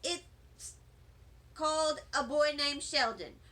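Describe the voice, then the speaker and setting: A woman reading a children's storybook as an excitable, dramatic little first-grade girl, with childlike grammar and emphatic delivery. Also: high voice, slow, full character voice. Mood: breathless, dramatic. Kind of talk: children's storybook read-aloud.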